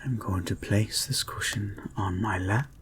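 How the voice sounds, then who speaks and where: A man playing a soothing, possessive and gently controlling therapist in an intimate hypnosis audio roleplay, speaking in a soft, breathy, hushed and deliberate way. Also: lowish voice, noisy background, close to an everyday voice. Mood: tender, clinical. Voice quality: soft, breathy, husky, often near a whisper. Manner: slow, hushed, deliberate, coaxing.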